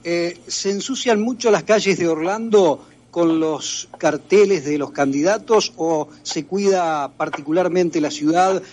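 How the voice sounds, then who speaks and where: A man's voice: sits high, a little slow, hardly any pauses, a little mellow. Argentine man hosting a radio interview. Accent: Argentine Spanish. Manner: hesitant, with many filler sounds. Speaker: radio host.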